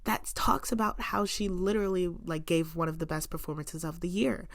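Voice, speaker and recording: A young woman chatting casually to camera as a vlogger at home, expressive, with lots of "like" fillers and sudden bursts of emphasis. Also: on the low side, normal speed, no echo, clean studio sound. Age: young adult. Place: room in her home.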